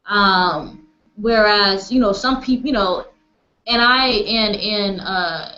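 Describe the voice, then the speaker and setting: A woman's voice: medium pitch, slow pace, bright, forceful tone. A woman leading a casual online group discussion, speaking hesitantly and searching for words over a video call. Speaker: discussion facilitator. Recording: echoey, dull, noisy video-call audio.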